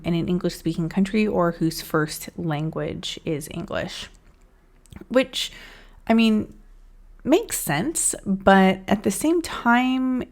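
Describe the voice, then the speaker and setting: A woman hosting an English lesson, talking thoughtfully to camera and asking many rhetorical questions. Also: lowish voice. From United States. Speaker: English teacher and channel host.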